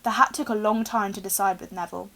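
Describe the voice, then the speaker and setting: A young woman reads a storybook aloud in an expressive narrating style. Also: medium pitch, fast talker, creaky. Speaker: reader, teacher. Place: small room indoors with bookshelves.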